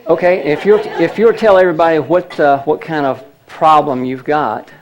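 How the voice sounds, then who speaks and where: A fairly high-voiced man runs a live seminar demonstration, speaking to a room full of people. He explains each step as he goes and keeps checking whether everyone can see. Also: normal speed, poor audio. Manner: chatty, explains step by step, asks the audience. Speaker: healing seminar presenter. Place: church hall.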